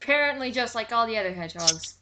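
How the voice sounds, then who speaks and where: A woman's voice: a little high, animated, fast talker, over a noisy crowd, rough recording. A woman co-commentating casually, in a sarcastic and challenging manner.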